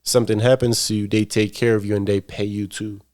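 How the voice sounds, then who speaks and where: A laid-back man with a somewhat low voice chats easily as a podcast guest. He talks in a loose, unhurried way and circles back over his own phrases. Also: level tone, a little mellow. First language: English. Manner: laid-back, repeats himself. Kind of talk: casual interview chat.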